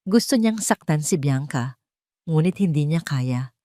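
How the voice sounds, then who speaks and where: A woman's voice: sits low, expressive, a little slow, dark tone, dry recording, clean studio sound. A woman reading scripted dramatic narration as the narrator of a love story.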